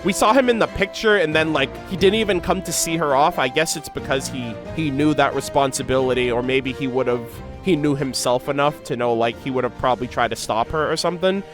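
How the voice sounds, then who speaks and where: A man's voice: mid-range voice, fairly quick, few pauses, over music. A man streaming his reaction to game lore, reading story text aloud and commenting casually in an emotive, slangy way.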